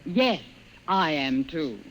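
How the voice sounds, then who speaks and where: Aloof, brush-off secretary, vintage radio drama; curt lines with lively inflection, some background noise. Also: female voice, low voice, slow pace. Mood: cool, dismissive. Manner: curt, coolly polite. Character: cool, dismissive female office secretary. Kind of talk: old-time radio drama acting. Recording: noisy vintage radio recording.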